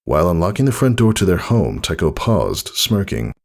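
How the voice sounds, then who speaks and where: A man narrates a story from a script. His voice is low, and its melody moves widely as he tells it. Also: sing-song, moderate pace, vocal fry, darkish tone. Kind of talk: scripted fan fiction narration.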